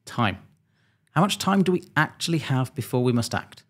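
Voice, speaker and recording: A man around forty, an airline pilot, presenting a scripted explainer in a measured, methodical, slightly dry way, close to a desk microphone. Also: mid-range voice, very animated, breathy edge. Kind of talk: scripted explainer narration to camera. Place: small home studio indoors.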